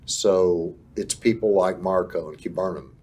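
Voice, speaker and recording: A man leading a business group, speaking as an interview guest in a promotional, deliberate way with pauses. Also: deep voice, a little mellow, slightly rough.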